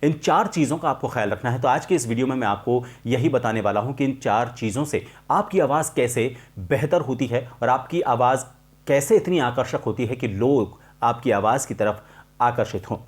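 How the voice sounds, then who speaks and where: A man's voice: medium pitch, normal speed. Man teaching as a voice coach. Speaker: voice coach presenting a tutorial. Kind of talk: instructional explanation to viewers.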